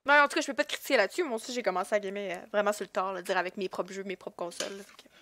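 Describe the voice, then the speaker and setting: A woman's voice: mid-range voice, steady tone, racing, very noisy. A woman hosting a podcast, stuffy from a head cold, prompting her guest with casual questions. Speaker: podcast host. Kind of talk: casual chat.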